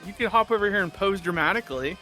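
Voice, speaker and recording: A man's voice: sits high, quick pace, bright, forceful tone. A man playing a tabletop game, chatting casually with playful quips. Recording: music behind the voice.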